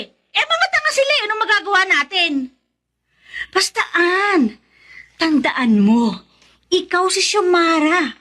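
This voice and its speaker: An actress playing a scheming, mercenary older sister in a radio drama, pushy and mocking in an exaggerated way. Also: female voice, high voice, fairly bright tone. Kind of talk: Tagalog radio drama acting.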